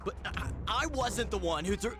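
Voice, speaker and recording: An actor voicing a wisecracking, cocky young masked superhero with an American accent and a sarcastic, quippy delivery in a video game. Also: male voice, high-pitched, lively intonation, normal speed, over music. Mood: alarmed, defensive.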